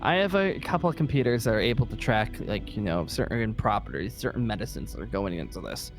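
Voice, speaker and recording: A man playing a weary, irritable survivor leader in an improvised zombie game roleplay, talking in a halting, rambling, emphatic way. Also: fairly low pitch, sing-song, music in the background. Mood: hesitant, hopeful. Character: weary, irritable safe-haven leader secretly seeking a zombie cure.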